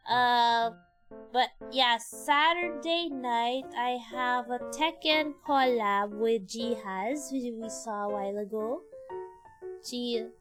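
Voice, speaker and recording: A woman with a Filipino accent, a game streamer chatting casually in a rambling way that often trails off, with game music behind her. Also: fairly high pitch. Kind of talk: casual livestream chat.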